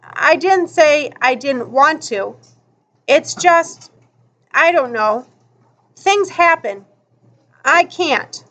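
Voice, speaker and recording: A woman voicing a hesitant, apologetic but stubbornly reluctant boy in a storybook reading, speaking plainly and haltingly. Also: high voice, expressive, a bit bright, heavily acted. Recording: dull lo-fi audio.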